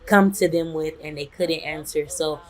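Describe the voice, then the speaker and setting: A woman's voice: sits low, steady tone, moderate pace. A woman hosting a business webinar, introducing her guest with chatty enthusiasm. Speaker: webinar host.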